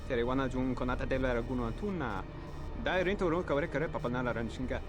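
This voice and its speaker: A man performs a sci-fi game alien rattling off guttural made-up speech. The pitch is average, the pace quick, and music plays behind. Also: close to an everyday voice. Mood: businesslike. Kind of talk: video game voice acting.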